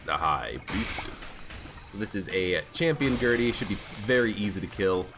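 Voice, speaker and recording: A man's voice: fairly low pitch, lively intonation, normal speed. Stuffed-up man chatting over game music. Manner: chatty, thinking aloud while playing. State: stuffed up and hoarse from allergies. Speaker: gaming channel host. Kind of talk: casual let's play commentary.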